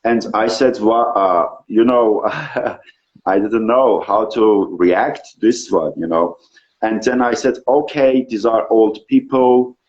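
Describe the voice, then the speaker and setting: A man from Iran whose first language is Turkish, telling his experiences in an interview, earnest and pausing often with fillers. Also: mid-range voice, darkish tone, dull audio. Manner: earnest, searching for words with many fillers. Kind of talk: casual interview talk.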